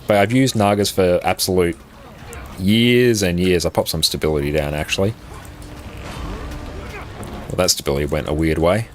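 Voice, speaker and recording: Casual male streamer, very deep voice, easygoing filler-heavy chat, close compressed mic, faint hiss behind. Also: moderate pace, pauses often. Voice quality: very low voice. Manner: relaxed, rambling, many "like" fillers. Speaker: game streamer. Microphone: condenser mic on an arm, compressed.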